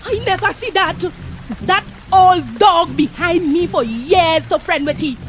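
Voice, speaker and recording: High-pitched, possessive older Trinidadian woman. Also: animated, a little slow. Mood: scheming, affectionate. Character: amorous, possessive older neighbourhood woman, quick to scold. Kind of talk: radio play acting. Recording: radio play with music behind.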